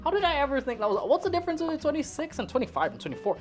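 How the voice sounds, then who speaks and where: A woman's voice: high-pitched, sing-song, rapid-fire, faint music behind. A woman in her twenties from the Philippines, chatting casually and self-deprecatingly while she cooks in her home kitchen. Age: 20s. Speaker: cooking vlogger. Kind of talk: casual rambling chat while cooking.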